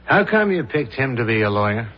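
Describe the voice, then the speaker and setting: An actor playing a dogged, brooding police inspector with a deliberate, probing manner, in 1970s radio drama style. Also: male voice, medium pitch, sing-song. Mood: brisk, insistent. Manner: deliberate, probing, clipped questions. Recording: dull lo-fi radio drama recording.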